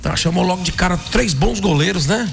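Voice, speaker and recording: Hoarse chatty Brazilian radio host. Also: male voice, high-pitched, fairly quick. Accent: Brazilian Portuguese. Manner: chatty, opinionated, says "né" often. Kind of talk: casual radio chat. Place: radio studio. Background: music bed.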